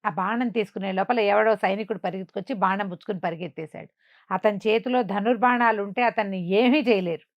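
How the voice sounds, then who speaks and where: A woman's voice: medium pitch, brisk, no room sound, muffled recording. A woman telling a tale in a chatty, explanatory, conversational way, checking in with her listener. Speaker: storyteller. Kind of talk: conversational oral storytelling.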